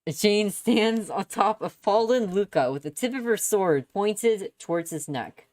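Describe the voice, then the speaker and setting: A woman's voice: medium pitch, animated, a little slow, a little mellow, dry recording. A woman reading a story aloud on a stream with dramatic, playful expression. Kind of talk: expressive live story narration. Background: background music.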